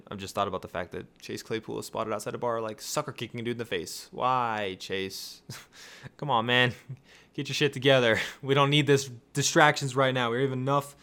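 A tired young man with a raspy voice, hosting a casual sports podcast and rambling through excited rhetorical questions. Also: mid-range voice, normal speed. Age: young adult. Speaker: podcast host.

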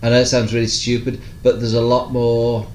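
A man's voice: mid-range voice, completely flat delivery, a little fast, a little mellow. A man chatting casually as a podcast co-host, easygoing and a little hesitant. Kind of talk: casual chat reviewing TV shows. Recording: echoey room, faint background noise.

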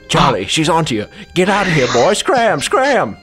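A man's voice: fairly high pitch, wildly swooping pitch, unhurried, a bit bright, husky, faint music behind, exaggerated voice. A man playing a nervous, stuttering, evasive detective in an improvised radio drama parody. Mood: panicked. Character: flustered, stammering, shady male private detective.

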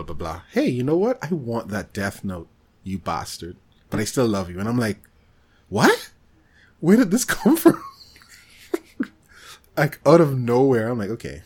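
A man co-hosting a podcast, chatting casually about films in an animated, exclamatory way. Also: on the low side, slightly rough. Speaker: podcast co-host.

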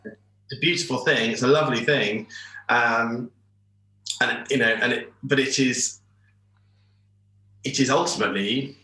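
A man in his forties from England talks over a video call, rambling and restarting his sentences. His voice is bright and forceful, and it comes through with a roomy echo. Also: medium pitch, unhurried, pauses often. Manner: rambling, hesitant, many restarts. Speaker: shirt designer, guest. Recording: echoey room over internet call.

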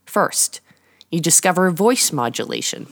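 Slow, low-pitched woman hosting a podcast. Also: lively intonation. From Canada. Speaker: podcast host and coach. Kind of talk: scripted podcast monologue, storytelling narration.

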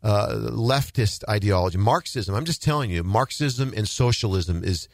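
Earnest low-voiced male radio host. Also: animated, moderate pace, no room sound, studio quality. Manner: earnest, emphatic, repeats words for stress. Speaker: radio talk show host.

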